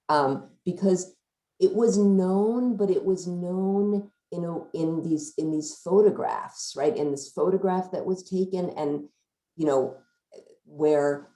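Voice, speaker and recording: Low-voiced, creaky woman discussing a book. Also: mellow, echoey room. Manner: hedging, circling sentences with frequent "sort of". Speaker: discussion leader at a book talk. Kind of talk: thoughtful analytical book discussion.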